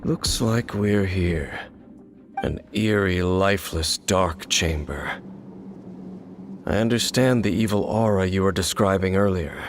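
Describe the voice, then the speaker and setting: An actor playing a grave, stern male warrior in a video game, speaking in a solemn, measured way. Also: deep voice, noisy background. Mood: grave, wary.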